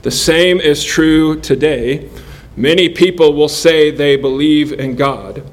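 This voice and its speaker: A man in his forties preaching a sermon in an earnest, measured way, pausing between phrases. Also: on the high side. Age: 40s. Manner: earnest, measured delivery with pauses.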